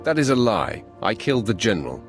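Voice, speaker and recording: Very deep, ruthless Sith warrior. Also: male voice, big pitch swings, brisk, faint music behind. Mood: defiant. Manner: curt, threatening. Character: ruthless young male Sith warrior apprentice, proud and wrathful. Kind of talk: cinematic game voice acting.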